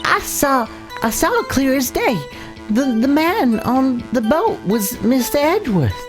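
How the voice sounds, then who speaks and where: A young female game witness acted by a woman, high and animated. She is excitable and defensive, halting as she gets flustered, with music behind her. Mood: insistent. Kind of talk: dramatic video game voicing.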